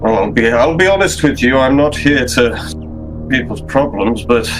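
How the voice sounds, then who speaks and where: An older gentleman played by a man talks in a courteous but patronising, halting way, like someone who has seen too much. It is tabletop game roleplay with faint music underneath. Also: medium pitch, lively intonation, lightly acted, rough recording. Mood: courteous, resolved. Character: world-weary, patronising older gentleman investigator.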